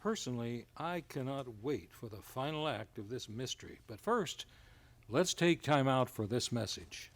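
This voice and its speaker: A man's voice: medium pitch, expressive, normal speed, natural voice. A man playing a genial announcer who hosts a radio mystery in old-time radio style. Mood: genial, suspenseful.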